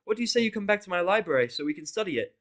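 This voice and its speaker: A man voicing an eager, bookish female unicorn scholar with an excitable, inquisitive, lecturing manner. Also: high-pitched, rapid-fire, pitched above the performer's own voice, heavily acted. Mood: fascinated.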